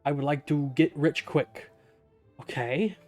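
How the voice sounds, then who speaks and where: A man's voice: a little high, unhurried. A young man giving casual, wry playthrough commentary as a gaming video host, with joking asides. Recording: soft game music behind the voice.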